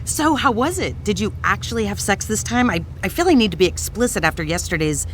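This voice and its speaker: A woman's voice: mid-range voice, expressive, brisk, slight background noise, natural voice. A woman playing a dry, inquisitive cruise passenger who asks blunt questions in an audio drama comedy. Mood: dry, curious.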